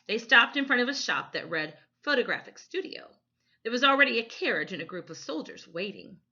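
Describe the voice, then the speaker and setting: A woman reading a children's story expressively, with dramatic, deliberate phrasing, on a slightly dull recording. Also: a little high, very animated, pushed and bright. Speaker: storytime reader.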